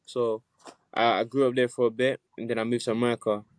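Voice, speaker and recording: A man's voice: mid-range voice, level tone, quick pace, no echo. Laid-back man, Nigerian-born guest. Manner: laid-back, laughs often, says "yeah" and "listen". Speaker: musician guest. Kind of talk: casual interview chat.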